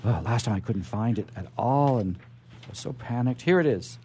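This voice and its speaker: Mellow man hosting a chatty radio show. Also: medium pitch, lively intonation, slight background noise. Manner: rambling, halting thought, often repeats words. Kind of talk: chatty hosting and interviewing, reading stories aloud. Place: radio studio. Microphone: studio microphone.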